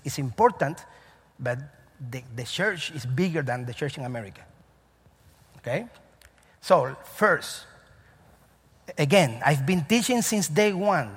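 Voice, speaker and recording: A male pastor with a Cuban accent delivers an earnest sermon in a church sanctuary. His voice sits a little high for a man and has a husky edge. The long reverb of the hall trails after every phrase. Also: fairly bright tone. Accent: Cuban Spanish. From Cuba. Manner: earnest, repetitive, emphatic preaching with frequent restarts.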